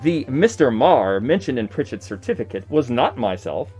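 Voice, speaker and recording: A man's voice: medium pitch, big pitch swings, normal speed, warm tone, breathy edge, soft background music. A man playing an indignant nineteenth-century horse seller, declaiming old newspaper notices in a theatrical, scornful manner. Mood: indignant, defiant.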